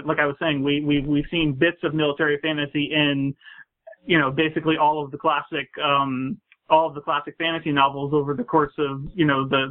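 A man speaking as a guest in a casual discussion over a call, rambling haltingly and circling back on his phrases. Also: on the high side. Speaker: guest panelist, anthology editor. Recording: tinny phone-line audio.

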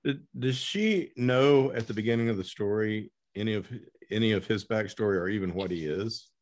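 A man hosting a casual conversational interview, rephrasing his questions haltingly as he goes. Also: on the low side, a little mellow. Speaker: podcast host interviewing an author.

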